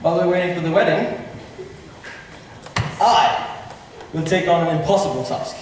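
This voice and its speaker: A genial, plotting prince played by a male amateur actor speaks in a high, theatrical voice with big swings of pitch. He declaims like a stage player, heard from far off in a reverberant hall. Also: lively intonation, moderate pace, close to an everyday voice. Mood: scheming, exuberant. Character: genial, scheming prince playing matchmaker among his friends. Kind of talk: amateur stage acting, school drama club. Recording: echoey hall from far off, faint noise.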